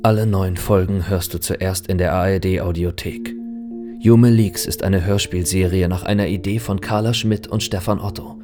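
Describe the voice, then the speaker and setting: A male announcer with a slightly low voice reads scripted credits for a series. Music plays clearly behind him.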